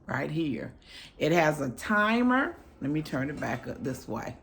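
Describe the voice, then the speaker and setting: A woman reviewing a product in a casual, affectionate, chatty way, her voice hoarse and crackly as she gets over an illness. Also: sits low, very animated, measured pace. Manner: affectionate, chatty, pious greetings.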